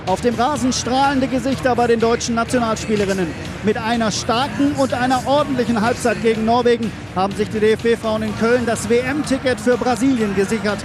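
A male sports reporter reading a lively match report. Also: very high-pitched.

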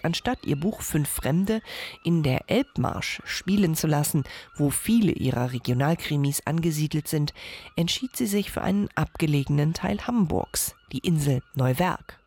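Lively woman narrating a radio feature. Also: low-pitched, moderate pace, husky. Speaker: radio reporter.

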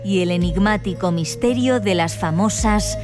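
A woman's voice: medium pitch, normal speed, loud music behind the voice. A woman reading scripted narration to introduce a feature. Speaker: programme narrator.

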